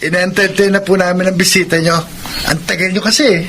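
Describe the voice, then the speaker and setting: High-voiced eager young Filipino son. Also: male voice, little pitch movement, brisk. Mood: polite. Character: polite, chatty young son and grandson. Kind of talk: Filipino radio drama acting. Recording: noisy radio drama broadcast.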